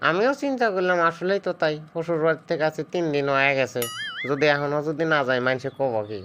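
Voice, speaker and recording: A man's voice: fairly high pitch, fast talker, music in the background, close to an everyday voice. A man playing an entitled young village son-in-law in a comedy cartoon, with a Sirajganj Bangladeshi accent and a complaining, sarcastic way of talking. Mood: polite.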